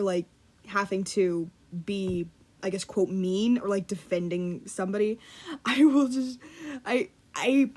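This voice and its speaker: A young woman vlogging in a casual, self-deprecating ramble, laughing often and swearing offhand while trying to make her voice deeper. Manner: deliberately lowering her voice. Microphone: computer mic.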